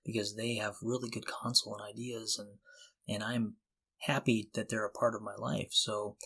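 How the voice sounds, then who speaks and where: A man's voice: on the low side, little pitch movement, moderate pace, vocal fry, bright, forceful tone, breathy edge. Earnest, enthusiastic man in casual chat. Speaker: podcast co-host. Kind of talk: casual conversation.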